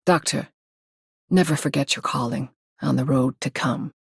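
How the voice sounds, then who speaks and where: An actor voicing a calm, grave video game operator in a husky, gravelly voice. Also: mid-range voice, normal speed, a little hiss or hum, natural voice. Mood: solemn. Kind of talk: scripted game character voice line.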